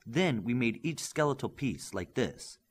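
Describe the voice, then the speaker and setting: A man's voice: medium pitch, expressive, a little slow. A man voicing an earnest, modest young male superhero with a questioning manner in an audiobook. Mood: earnest, explaining.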